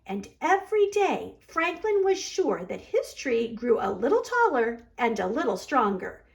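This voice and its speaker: High-voiced woman reading a children's story. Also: sing-song, measured pace, creaky. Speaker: library storyteller.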